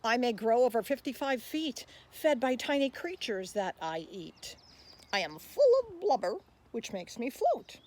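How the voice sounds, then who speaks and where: A woman voicing a huge, proud, blubbery whale in a rhyming picture book, with loud, punchy, emphatic phrasing. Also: fairly high pitch, sing-song, measured pace. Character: huge blubbery right whale, proud yet wronged showman.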